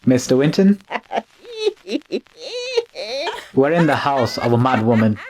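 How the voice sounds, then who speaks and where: An actor playing a deferential, uneasy but obedient manservant in an old-time radio drama. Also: male voice, mid-range voice, brisk, a crowd in the background, lightly acted.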